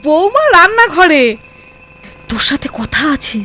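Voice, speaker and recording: A woman's voice: very high-pitched, big pitch swings, measured pace, sharp tone, a little hiss or hum, heavily acted. A woman voicing a suspicious, fretful elderly village mother-in-law in a children's cartoon, with melodramatic exclamations. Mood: alarmed. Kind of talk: Bengali children's cartoon acting.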